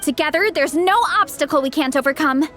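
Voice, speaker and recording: A woman's voice: high voice, brisk, fairly bright tone, faint music behind. A woman voicing a bold, encouraging young teammate in a video game, earnest and rallying. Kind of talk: scripted game dialogue.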